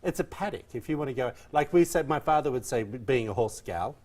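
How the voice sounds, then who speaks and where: Chatty woman with a soft Australian accent. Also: extremely low pitch, lively intonation, quick pace. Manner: chatty, laughs often, stretches out words for effect. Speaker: co-host. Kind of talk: casual chat.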